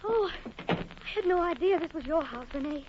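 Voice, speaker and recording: An anxious young wife in an old radio melodrama. Her voice is very high and theatrical, on a dull recording with faint hiss. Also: lively intonation, moderate pace. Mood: shaken. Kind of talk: 1940s radio drama acting.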